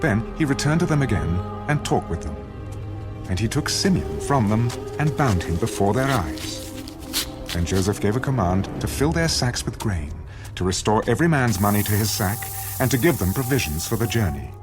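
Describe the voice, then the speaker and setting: A low-voiced man narrates scripture as solemn audio theater. His delivery is very varied in pitch and scripted, with prominent music playing behind him. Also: very animated, normal speed. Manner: solemn storytelling. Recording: loud music bed.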